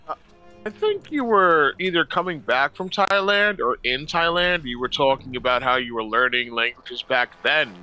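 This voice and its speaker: Very bright, forceful, blunt-talking man. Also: mid-range voice, expressive, moderate pace, soft background music, poor audio. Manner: blunt, profane, slangy. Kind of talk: casual chat, opinionated rambling.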